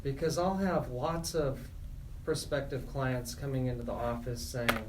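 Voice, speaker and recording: Male attorney-host, mid-pitched, conversational and explanatory, set back from the mic with background noise. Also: fairly even intonation, unhurried, far from the mic. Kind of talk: conversational radio talk, explaining. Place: radio talk show.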